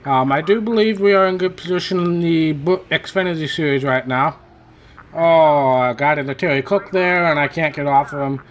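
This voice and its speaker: A man's voice: fairly high pitch, moderate pace, slight background noise. A man hosting a racing game playthrough in casual, rambling commentary, his voice worn from hours of talking. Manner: rambling, self-correcting, chatty. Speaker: gaming channel host.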